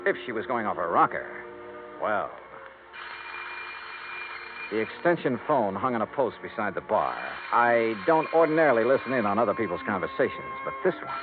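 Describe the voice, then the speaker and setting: An actor playing a hardboiled insurance investigator, narrating and talking in a dry, sardonic, laconic way. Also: male voice, fairly low pitch, big pitch swings, moderate pace, background noise. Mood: wry. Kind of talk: 1950s radio drama narration and dialogue. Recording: tinny 1950s radio with music.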